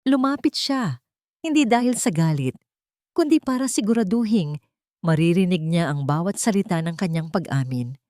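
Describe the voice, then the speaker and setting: Female audiobook narrator, slightly low voice, animated, emotive, dramatic scripted storytelling, dry recording. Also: normal speed, dry recording.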